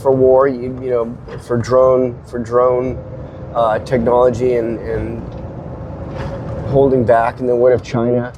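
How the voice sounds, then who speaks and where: A man's voice: mid-range voice, completely flat delivery, unhurried, muffled recording, background noise. A man hosting a casual talk show, rambling with hesitant restarts, his voice stuffy from a head cold. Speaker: host. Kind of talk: casual spoken commentary. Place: car.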